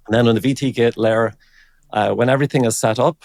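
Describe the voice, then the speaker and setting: A man explains technical matters conversationally, with a creaky edge to his voice. He talks in a halting, informal way, working out his thoughts aloud, and the sound is close and dry. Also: mid-range voice, steady tone, darkish tone, no echo. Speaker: engineering director, interview guest.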